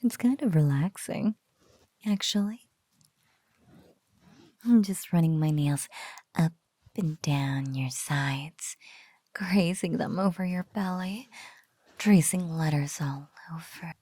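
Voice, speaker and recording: A woman playing a teasing, doting spider-woman captor in an ASMR roleplay, speaking softly in breathy whispers and lingering playfully over the words. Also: low voice, dry recording, exaggerated voice. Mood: playful, gloating. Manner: slow, soft, breathy, lingering teasing delivery.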